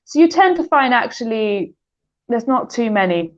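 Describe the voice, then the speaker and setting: A woman's voice: fairly high pitch, very animated, normal speed, dull audio. A woman with a British accent, chatting as an interview guest, laughing often with hesitant fillers. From United Kingdom. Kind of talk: casual interview chat.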